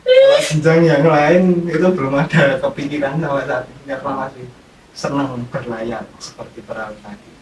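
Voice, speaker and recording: A young man in a casual interview style. His mid-pitched voice sounds distant from the microphone in a very echoey room, with clear noise behind it. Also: big pitch swings, normal speed. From Indonesia. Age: 20s. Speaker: podcast guest.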